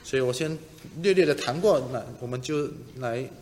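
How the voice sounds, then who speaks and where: A man interpreting a sermon live, speaking in brisk, short bursts that follow a preacher. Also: mid-range voice, darkish tone. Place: large reverberant room, interpreting live to a congregation. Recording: reverberant hall sound.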